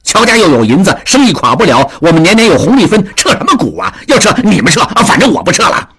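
A man voicing a hot-tempered middle-aged man who shouts and stammers in anger. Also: medium pitch, wildly swooping pitch, moderate pace, husky, exaggerated voice. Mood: angry. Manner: shouting, flustered, swearing.

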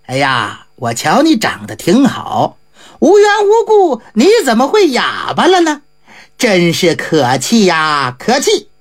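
A woman voicing an eccentric, jovial old male monk in a traditional storytelling performance, with a gruff, drawling and offhand manner. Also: mid-range voice, huge pitch swings, unhurried, voice pushed down. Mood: mock indignant.

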